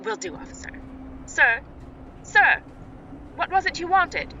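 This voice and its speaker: Bright-voiced woman train conductor, acted. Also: very high voice, expressive, a little slow, vocal fry, distant mic, exaggerated voice. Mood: brisk, helpful. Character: helpful, inquisitive female train conductor. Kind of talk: amateur audio play acting. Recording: dull, faintly noisy home-device recording.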